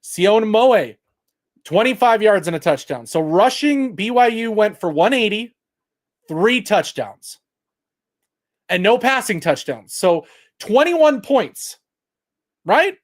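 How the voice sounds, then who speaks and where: Fired-up guy ranting about a football game, loud projecting voice, kinda high. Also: very animated, slow, pauses often. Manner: loud projecting voice, heated and exasperated; reads stats aloud to chat. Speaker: sports talk host. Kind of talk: post-game livestream commentary.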